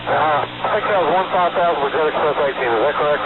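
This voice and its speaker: A man talks as an airline pilot in short, terse readbacks. His voice comes through a cockpit radio, thin and phone-like. Very loud static and noise cover the transmission. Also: a little high, quick pace. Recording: tinny, very noisy aviation radio.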